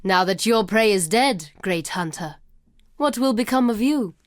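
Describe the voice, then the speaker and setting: A woman playing a proud, wary desert tribeswoman warrior in a video game, speaking in a solemn, measured and formal way. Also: medium pitch, animated, no room sound, clean studio sound, natural voice. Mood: grave. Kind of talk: video game character dialogue.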